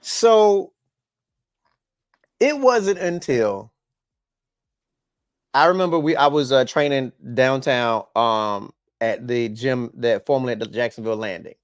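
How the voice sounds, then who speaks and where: A man hosting a podcast, joking and animated in casual chat. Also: medium pitch, slow, pauses often, clean tone, no echo, clean studio sound. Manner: joking, animated, stumbles and restarts. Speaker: podcast host.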